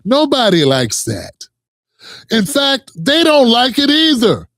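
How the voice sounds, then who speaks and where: Commentary host, high male voice, heated and profane, dry close sound. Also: wildly swooping pitch, slow pace, fairly bright tone, dry recording. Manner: heated, emphatic, profane ranting; addresses viewers directly. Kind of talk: reaction commentary.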